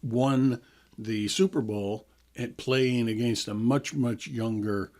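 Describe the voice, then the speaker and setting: A man's voice: medium pitch, slow pace, darkish tone. Chatty man in his sixties hosting radio. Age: 60s. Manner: chatty, explanatory, laughs easily. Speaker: radio show host. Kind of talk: casual radio talk.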